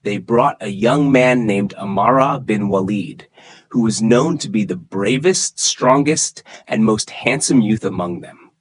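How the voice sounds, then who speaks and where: A man's voice: fairly low pitch, unhurried, husky, dry recording, rough recording. A man narrating a scripted religious story for children in a dramatic storytelling manner.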